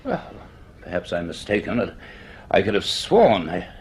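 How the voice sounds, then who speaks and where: Low-voiced, donnish male professor. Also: huge pitch swings, slight background noise. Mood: curious, concerned. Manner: measured, donnish, deliberate. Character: inquisitive, authoritative male university professor and scientist narrating his tale. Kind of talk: radio drama acting and reflective first-person narration.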